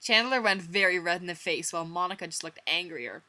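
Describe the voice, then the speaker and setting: A woman's voice: on the low side, a little fast, sharp tone. A young woman reading a story dramatically, theatrical and self-mocking, with wry asides. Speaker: vlogger reading own old fan fiction.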